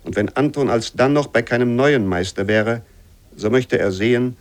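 A man reading literary prose aloud as scripted narration, with measured, formal phrasing. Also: medium pitch, no echo. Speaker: literary reader.

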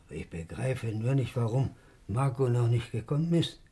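Husky, hesitant, anxious money-minder. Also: male voice, mid-range voice, expressive, normal speed, mellow. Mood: fussy, puzzled. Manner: hesitant, worried, muttering to himself. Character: fussy, anxious man minding money, named Anton. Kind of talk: 1950s German radio play acting.

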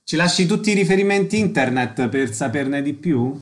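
A man's voice: fairly high pitch, lively intonation, normal speed. A man hosting a radio programme, presenting details and putting concise questions to guests. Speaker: radio interviewer and host.

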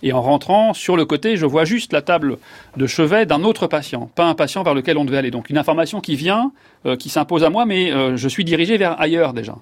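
A man's voice: fairly high pitch, expressive, a little fast, no echo. A man in his fifties, a neurologist guest, giving long reflective answers with many fillers and restarts. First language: French.

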